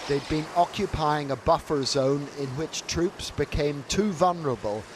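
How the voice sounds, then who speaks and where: Man, slightly high voice, news correspondent, scripted report narration. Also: normal speed, background noise.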